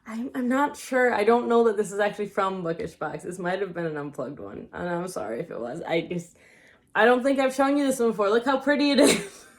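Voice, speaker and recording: A woman's voice: mid-range voice, fairly quick. A woman chatting through a casual book haul for her channel. Her voice is wearing thin and she is losing it. She rambles, hedges and second-guesses the details as she talks. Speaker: book vlogger.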